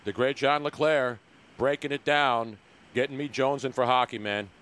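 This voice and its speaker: A man's voice: mid-range voice, fairly even intonation, normal speed, a bit bright, clear, resonant tone. A middle-aged or older American man hosting a casual sports podcast in a brash, slangy, bantering way. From Philadelphia, USA. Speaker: podcast host.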